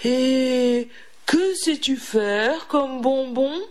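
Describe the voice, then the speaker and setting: A woman voicing a hungry, menacing male ogre wolf for a children's storybook, gruff, threatening and impatient. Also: high-pitched, extremely slow.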